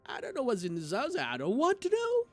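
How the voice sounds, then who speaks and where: A man reading a visual novel aloud on a livestream in an expressive, theatrical way, as a game streamer. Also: very high voice, fairly quick. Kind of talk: livestream playthrough, dramatic read-aloud of visual novel narration. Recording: music playing behind the voice. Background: game music.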